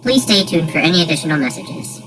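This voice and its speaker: A woman's voice: low voice, animated, quick pace, music in the background, rough recording. A woman announcer reads a scripted emergency alert in a formal, impersonal manner.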